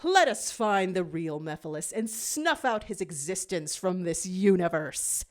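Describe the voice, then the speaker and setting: A woman's voice: on the low side, animated, unhurried. A woman voicing a brooding, tormented male jackal villain, grandiose and commanding, in a dramatic story reading.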